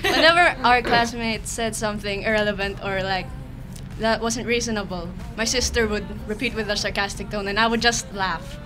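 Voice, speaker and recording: A woman's voice: mid-range voice, normal speed. A teenage girl guest on a radio show, chatting and laughing as she explains things about her sister. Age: teens. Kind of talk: casual radio chat. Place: radio studio.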